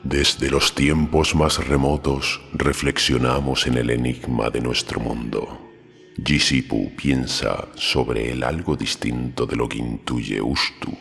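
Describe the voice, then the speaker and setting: A man voicing a solemn ancient eagle-headed sage in a storybook, with a deep, full voice, speaking quietly and gravely. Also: exaggerated voice. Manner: slow, quiet, grave and formal. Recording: long hall reverb, music behind.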